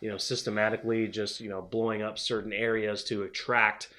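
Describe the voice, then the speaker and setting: A man co-hosting a casual TV review chat, a little nasal and congested from a cold, easygoing and quick to laugh. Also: fairly low pitch, little pitch movement, pushed and bright. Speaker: co-host of a TV review show.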